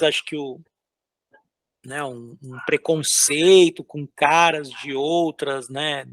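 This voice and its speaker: Gravelly-voiced Brazilian man, casual and halting. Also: fairly high pitch, a bit bright, dry recording. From São Paulo, Brazil. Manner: loose, hesitant, lots of filler words and restarts. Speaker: concept artist guest. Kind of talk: casual interview while drawing.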